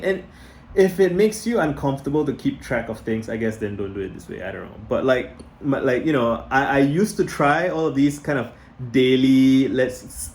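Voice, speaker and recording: A man's voice: medium pitch, a little mellow, a little hiss or hum. A man with a Singaporean accent, in his thirties, talking casually and rambling to camera, often restarting his sentences. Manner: rambling, self-correcting, conversational. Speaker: video blogger. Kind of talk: casual talking-head vlog.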